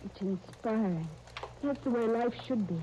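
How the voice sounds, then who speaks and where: A woman's voice: a little high, moderate pace, warm tone, lightly acted. An actress playing an earnest, encouraging young woman in a 1930s radio serial. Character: earnest, encouraging young niece, Sunday, in wartime England. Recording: muffled, noisy 1939 radio transcription disc.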